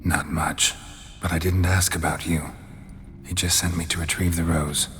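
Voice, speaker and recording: Low, gravelly, stoic monster hunter. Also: male voice. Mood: flat, blunt. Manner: terse, deadpan, measured. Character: stoic, blunt male monster-hunting witcher, world-weary. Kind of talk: restrained video game acting. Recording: reverberant hall, noisy ambience behind.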